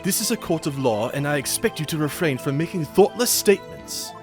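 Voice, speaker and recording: A man's voice: fairly high pitch, animated, a little fast, over music, natural voice. A man voicing a haughty, disdainful prosecutor in a video game, with pompous, formal phrasing.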